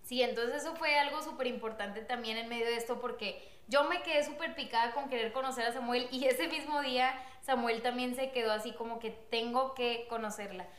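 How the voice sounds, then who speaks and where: Young woman, high-pitched, lively storyteller, roomy echo. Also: fairly quick, few pauses. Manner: animated, laughs often, acts out quotes. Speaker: guest telling their love story. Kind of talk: casual storytelling.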